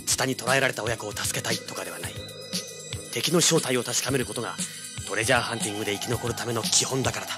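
A man's voice: mid-range voice, very fast, close to an everyday voice. An actor playing a cocky, hard-boiled young treasure hunter, telling his story as dramatic audio drama narration. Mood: tense narration. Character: brash, cool-headed young male treasure hunter, action hero. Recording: dramatic music under the voice. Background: dramatic background music.

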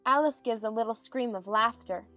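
A woman's voice: a little high, normal speed, pushed and bright, soft background music. A woman giving scripted narration of stage directions for a dramatized audiobook.

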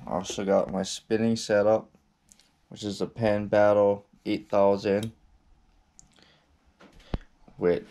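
Slow, gravelly-voiced young man. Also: lowish voice, a little mellow, slight background noise. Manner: slow, laid-back, with frequent ums and restarts. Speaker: fishing vlogger. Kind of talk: casual gear walkthrough. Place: indoors at a cluttered gear table. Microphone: action camera.

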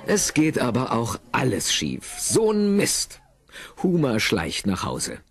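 Lively male narrator, swooping intonation. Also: mid-range voice, slow pace, gravelly, slightly rough, a faint crowd. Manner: animated, wry, rhetorical questions. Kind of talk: scripted audio drama narration for a comedy. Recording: music under the voice. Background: music.

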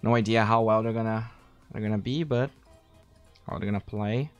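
A young man with a slightly low voice chats away while streaming a game, thanking viewers and thinking out loud as he plays. His comes through as he rambles casually into a so-so mic. Faint game music and keyboard clicks sit in the background. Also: fairly even intonation, normal speed, smooth, dull audio. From Brazil. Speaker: streamer and caster.

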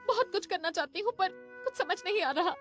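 A woman's voice: extremely high pitch, a little fast, soft background music. A woman playing an emotional, dramatic young elephant girl in a cartoon dub. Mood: guilty, sorry. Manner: expressive, dramatic. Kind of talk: Hindi cartoon dubbing.

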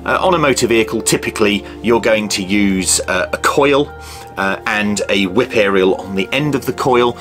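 Casting: British man, fifties, low voice, informal instructional presenter, music behind. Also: normal speed, a bit bright. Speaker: presenter of a radio how-to video. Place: small home office or workshop room.

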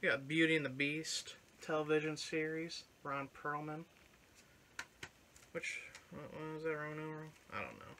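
A man's voice: fairly high pitch, normal speed, sharp tone, a little hiss or hum. A young man hosting a casual show-and-tell of his flea market finds, talking offhand and swearing now and then. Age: young adult. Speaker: channel host showing his finds. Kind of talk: casual chat. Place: living room with record shelves and couch. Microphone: fixed camera at couch distance.

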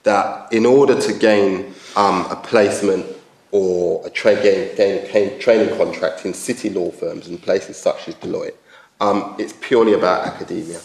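A very deep, gravelly male voice chairing a live panel in a large formal hall. He asks his questions in a wry, rambling way and often restarts his sentences. The sound carries a roomy echo. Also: steady tone, normal speed, darkish tone. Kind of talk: panel discussion moderating.

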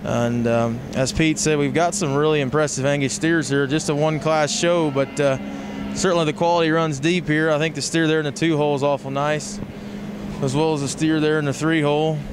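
An American man hosting live livestock show commentary in a measured, matter-of-fact way. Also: on the high side, flat delivery. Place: large indoor show arena. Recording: noisy livestock arena ambience.

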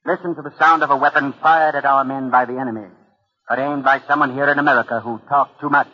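A man hosting and narrating a radio mystery series in formal, deliberate 1940s style. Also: medium pitch, steady tone, lo-fi sound, slight background noise.

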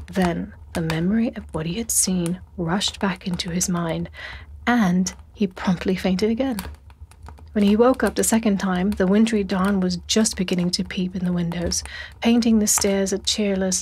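A woman's voice: mid-range voice, darkish tone, a little hiss or hum, poor audio. A woman narrating a spooky audiobook in a dramatic, scripted storytelling style. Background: atmospheric sound design and music.

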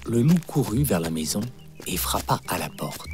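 Deep-ish guy reading a kids fairy tale, very animated, music behind. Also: moderate pace. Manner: expressive storytelling. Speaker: narrator. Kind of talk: scripted fairy-tale narration for children. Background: background music.

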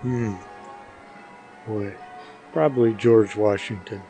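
A man's voice: fairly low pitch, fairly even intonation, slow pace, dark tone, soft background music. A man being interviewed on a podcast, giving casual, thoughtful and understated answers with some hesitation.

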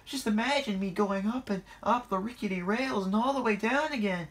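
A man voicing an excitable, anxious small male engine in a children's story, wailing with a voice that breaks. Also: a little high, unhurried, voice pushed up. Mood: panicked wailing.

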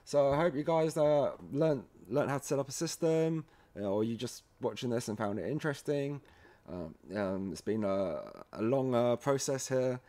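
A man presenting a software tutorial in a casual, halting, step-by-step way, often checking in with a short "okay". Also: mid-range voice. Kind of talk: casual screen-recorded software walkthrough.

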